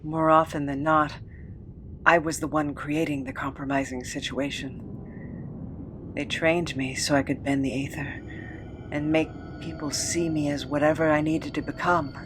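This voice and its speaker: An actor playing a weary, haunted former captive in an audio drama, recounting a cruel past in a halting, measured way. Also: mid-range voice, flat delivery, noisy background, lightly acted. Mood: confessional.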